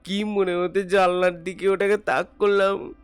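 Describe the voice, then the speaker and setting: A man acting a frightened, apologetic young labourer in an audio detective drama, halting, stammering and pleading with deference. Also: high voice, flat delivery, smooth, dry recording, soft background music. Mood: nervous, halting.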